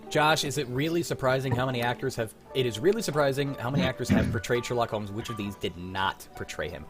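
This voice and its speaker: A man's voice: mid-range voice, fast, music in the background. A man hosting a casual quiz in a joking, crude manner. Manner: crude, jokey. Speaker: quiz host.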